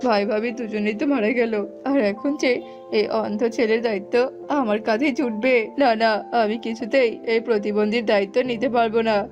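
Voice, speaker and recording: A woman's voice: fairly high pitch, fairly even intonation, a little fast, lightly acted. A woman voicing a callous, grudging younger jackal uncle in a dramatic, exclamatory cartoon style. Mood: scheming. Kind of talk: cartoon voice acting. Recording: muffled, music underneath.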